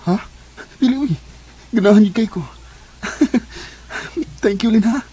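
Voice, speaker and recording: Earnest, mellow young suitor. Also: male voice, high voice, takes it slowly, breathy edge, exaggerated voice. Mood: elated, loving. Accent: Cebuano Filipino. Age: young adult. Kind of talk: Cebuano radio drama acting.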